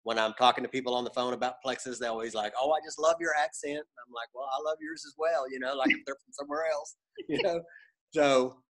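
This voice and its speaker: A man's voice: medium pitch, fairly even intonation, fast talker, fairly bright tone, poor audio. Texas guy rambling on a zoom call from his room, lots of uhs, easygoing drawl. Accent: Texan. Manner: folksy, rambling with many "uh"s. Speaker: participant on a video call. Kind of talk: casual self-introduction. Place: home room with a lamp. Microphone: video call.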